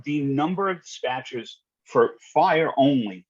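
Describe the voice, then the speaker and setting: A man's voice: mid-range voice, lively intonation, a little slow, dull audio. A man telling work stories in a conversational podcast style, matter-of-fact, colloquial and salty. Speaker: guest, retired fire dispatcher.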